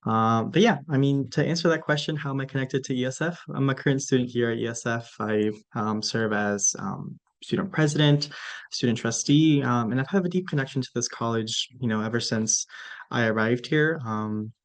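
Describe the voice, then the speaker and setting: Earnest young man with medium-pitched voice. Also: normal speed. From Ecuador, moved to the US at eleven. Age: young adult. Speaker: student leader, panelist. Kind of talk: earnest panel remarks. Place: virtual panel over video call.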